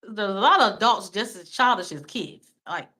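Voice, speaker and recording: A woman's voice: mid-range voice, expressive, normal speed, sharp tone, breathy edge. A woman from Maryland with a US East Coast accent, co-hosting a casual livestream and repeating her phrases for emphasis. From small town in Maryland, United States. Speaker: co-host of a livestream.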